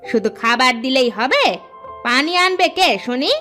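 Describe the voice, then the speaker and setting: A woman's voice: high-pitched, animated, moderate pace, faint music behind. A woman voicing a harsh scolding stepmother. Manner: sharp, scolding, bossy. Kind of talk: cartoon voice acting.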